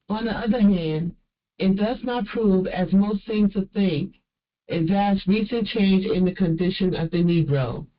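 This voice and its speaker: A speaker reading an old academic text aloud in a formal, measured way. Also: medium pitch. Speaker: reader of a historical text. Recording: dull lo-fi audio.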